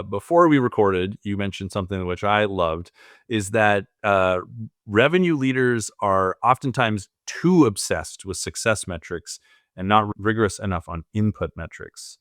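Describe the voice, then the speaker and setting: Male podcast host, low smooth voice, dry close sound, chatty and upbeat. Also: fairly bright tone, no echo. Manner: upbeat, conversational with frequent fillers. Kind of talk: casual interview chat.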